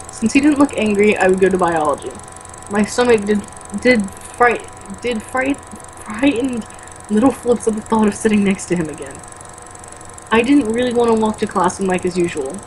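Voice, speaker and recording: A woman's voice: medium pitch, rough recording. A woman with a mid-range voice reads a book aloud in an informal, amateur way. She stumbles on the odd word and makes blunt, sweary asides. It is a home recording with noticeable background noise. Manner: stumbles over words when reading, blunt and sweary in asides. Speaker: co-host reading a novel aloud with commentary. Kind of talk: amateur read-aloud with mocking casual commentary.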